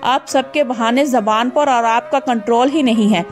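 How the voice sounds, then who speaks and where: A woman playing a bossy, high-voiced daughter-in-law. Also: normal speed, soft background music, lightly acted. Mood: scolding. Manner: scolding, bossy. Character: firm young daughter-in-law, strict about healthy food. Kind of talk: cartoon voice acting.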